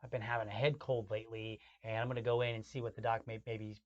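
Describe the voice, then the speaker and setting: A man's voice: on the low side, little pitch movement, quick pace. A man hosting a casual podcast, affirming with "right" and restarting phrases as he explains. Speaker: podcast host. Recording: dull lo-fi podcast audio.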